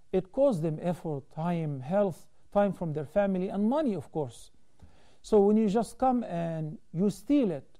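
High, mellow-voiced man explaining Islamic rulings. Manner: measured, explanatory, gestures while talking. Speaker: Islamic scholar answering viewer questions. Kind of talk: call-in religious Q&A. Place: TV studio, seated at desk. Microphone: lapel mic.

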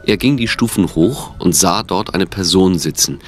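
A man's voice: sits low, normal speed, faint music behind. A man reading scripted narration for an audio drama.

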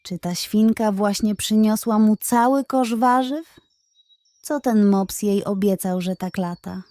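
A woman's voice: mid-range voice, a little slow, dark tone, no room sound, clean studio sound, natural voice. A woman voicing an opinionated, wary male farmyard gander in a children's story, outspoken and questioning. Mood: astonished.

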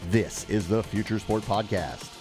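A man's voice: lowish voice, measured pace, over loud music. A man hosting a podcast, introducing guests and reading sponsor spots in an upbeat way. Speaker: podcast host.

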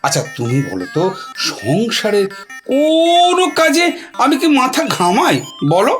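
A woman's voice: high-pitched, huge pitch swings, unhurried, heavily acted. A woman playing a coaxing, playful old Shiva in an audio drama, repeating words as he wheedles. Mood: indignant, playful. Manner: wheedling, stammering repetitions of words. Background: music.

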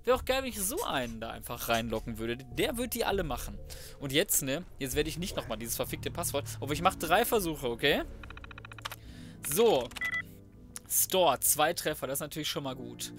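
A young man with a slightly high-pitched voice hosts a casual let's play. He talks colloquially, half to himself, close on the mic, over loud game music. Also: big pitch swings, normal speed. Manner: colloquial, slangy muttering to himself while playing. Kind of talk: casual gaming commentary. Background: loud game music, typing and gunfire.

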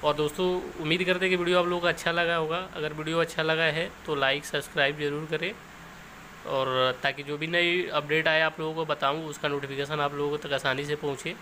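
Male explainer host, slightly high pitch, informal step-by-step delivery, faint background noise. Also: little pitch movement, fairly quick, sharp tone, low-quality recording.

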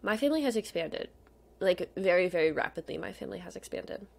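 A woman's voice: lowish voice, expressive, quick pace, creaky, a little mellow. Casual, gushing young woman vlogger. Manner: gushing, slangy, often drops to a murmur. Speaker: video host, K-pop photocard collector. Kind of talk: casual rambling screen-share commentary.

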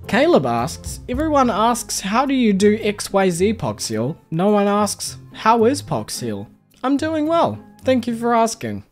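Lively New Zealand man over soft music. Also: high voice, a little slow. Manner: friendly, chatty, stumbles over names. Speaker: game video creator. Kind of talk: scripted voice-over answering viewer questions. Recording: faint background music under voice-over.

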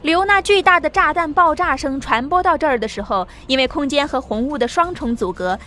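A woman's voice: sits high, lively intonation, a little fast, bright, forceful tone. A woman narrating a science fiction audiobook in a scripted, dramatic storytelling style. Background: background music.

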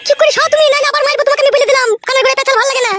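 A short-tempered cartoon wife scolds. The voice is piercingly high and extremely quick, curt and threatening. The track is clearly noisy, with clicks. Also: steady tone. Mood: furious, threatening. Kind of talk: exaggerated comic cartoon dialogue.

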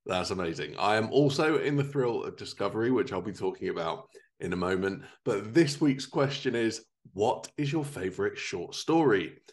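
A man's voice: fairly low pitch, animated, normal speed. A man in his thirties presenting a podcast in an upbeat, enthusiastic, scripted style. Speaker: podcast host. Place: living room at home.

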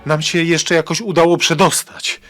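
A man acting a grave, protective father in a radio drama, speaking solemnly with dramatic pauses. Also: on the high side, level tone, fairly bright tone, faint music behind, natural voice. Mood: anguished confession. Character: grave protective father, secret guardian of a time gate.

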